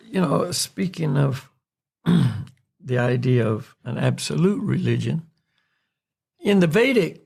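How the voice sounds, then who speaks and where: An American man from North Carolina, a podcast host calmly explaining spiritual ideas in a reflective, unhurried way. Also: on the high side, gravelly, husky. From North Carolina, USA. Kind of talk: spiritual discussion.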